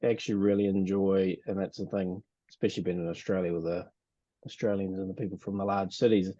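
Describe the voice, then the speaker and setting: Low-voiced New Zealand host, dull dry audio. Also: male voice, flat delivery, quick pace, dark tone, dry recording. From rural New Zealand. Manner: chatty, laughs often, trails off. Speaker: podcast host. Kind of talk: casual podcast chat.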